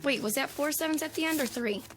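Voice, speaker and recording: An American actress playing a businesslike photo shop clerk in a television drama, matter-of-fact, helpful and firm. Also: female voice, sits high, fairly bright tone. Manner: matter-of-fact, brisk service talk.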